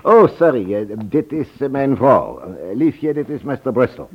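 Pushy friendly husband in an old radio play, husky voice, muffled sound. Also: male voice, medium pitch, big pitch swings, a little slow, exaggerated voice. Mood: smooth, hospitable. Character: insistent, genial husband questioning a policeman. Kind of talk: Dutch radio drama acting.

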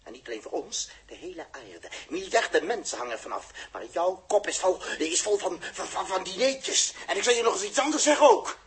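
An actor playing a gruff, short-tempered young genius in a 1960s radio drama, stammering and spluttering as he repeats words. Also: high voice, sing-song, fairly quick, hardly any pauses, a crowd in the background. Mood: outraged.

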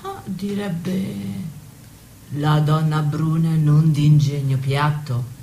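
A woman's voice: sits low, fairly even intonation, slow, mellow. A woman playing a worldly, sardonic married attendant to a noblewoman in a radio drama. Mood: teasing, bitter. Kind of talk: stage-style radio drama acting.

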